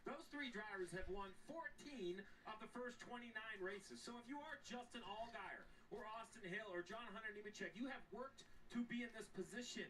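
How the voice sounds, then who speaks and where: A man's voice: high-pitched, normal speed, hardly any pauses, slight background noise. A man giving race analysis on a motorsport broadcast, explaining the standings in a thoughtful way. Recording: distant TV audio under loud music.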